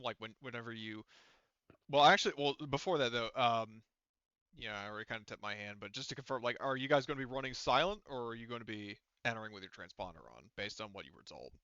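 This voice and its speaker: A man working as game master, narrating a tabletop roleplaying game in an informal, halting way as he thinks aloud. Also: medium pitch, pushed and bright, clean tone, dry recording, highs cut off.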